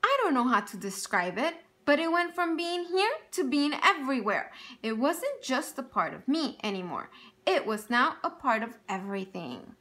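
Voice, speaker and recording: Lively high-voiced woman reading aloud. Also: normal speed. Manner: expressive storytelling with questions for young listeners. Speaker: teacher. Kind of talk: reading a picture book aloud to children.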